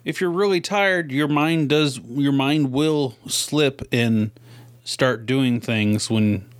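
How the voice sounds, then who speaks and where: A man's voice: medium pitch, slow pace, no echo. A man co-hosting a podcast in casual chat, laid-back and chipping in with short remarks. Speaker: podcast co-host.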